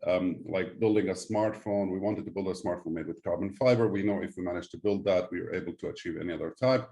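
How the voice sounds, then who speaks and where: Low, mellow-voiced male founder, Syrian-born. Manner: halting, non-native phrasing. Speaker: startup founder, guest. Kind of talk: conversational interview.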